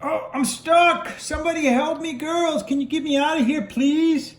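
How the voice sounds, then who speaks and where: A man doing a high, whiny, melodramatic toy pony. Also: moderate pace, echoey room. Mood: melodramatic, pleading.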